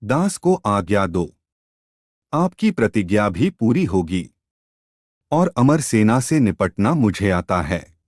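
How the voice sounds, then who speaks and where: A man's voice: lowish voice, very animated, slow, long pauses, a little mellow, dry recording, clean studio sound, heavily acted. A man voicing a confident, devoted monkey god warrior in a mythological storybook tale, assured and reverent.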